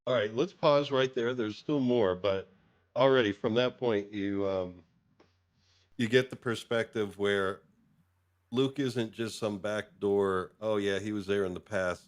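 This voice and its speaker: A man's voice: on the low side, fairly even intonation, a little slow. A man hosting a casual talk show, chatting in a rambling way as he thinks aloud. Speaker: host of a fan fiction show. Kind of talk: casual chat.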